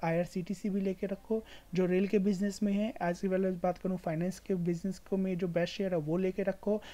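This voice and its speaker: An Indian woman hosts an informal screen-share explainer in a mellow, relaxed voice that sits a little low. She advises emphatically, repeating phrases to drive points home, and mixes English words freely into her speech. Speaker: stock market video host.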